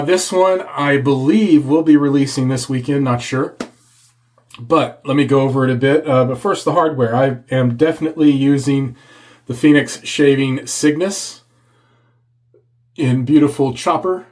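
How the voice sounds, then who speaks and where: A man hosting a casual shaving video, congested and a bit hoarse from a cold, chatting as he lathers. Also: mid-range voice, a little mellow. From Texas. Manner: chatty, reads product blurb aloud. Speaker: shaving channel host. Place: home office.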